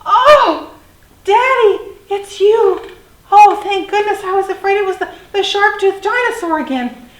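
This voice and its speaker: A woman's voice: high voice, unhurried, few pauses, echoey room, pitched above the performer's own voice, poor audio. A woman voicing a small, frightened, earnest boy dinosaur who pleads for help in a storybook tale. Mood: relieved. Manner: wailing calls, pleading.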